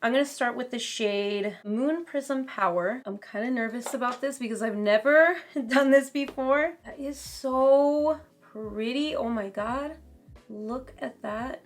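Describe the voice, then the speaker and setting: Slightly raspy woman chatting through makeup. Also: fairly high pitch, measured pace. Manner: chatty, casual commentary while applying makeup. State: raspy voice from talking too much. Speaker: beauty vlogger. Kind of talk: makeup try-on and review. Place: home beauty room, indoors.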